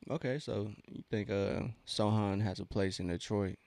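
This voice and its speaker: Chill 30 yr old dude streaming a game, low voice, slangy chat, dry sound no room echo. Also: male voice, steady tone, normal speed, creaky, a little mellow. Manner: laid-back, slangy. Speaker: livestream watch-along host. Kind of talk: casual sports commentary and chat with viewers.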